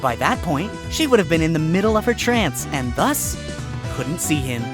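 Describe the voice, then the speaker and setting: A woman's voice: low voice, big pitch swings, moderate pace, music in the background. A woman voicing a sly, sarcastic male fox defense lawyer arguing in court.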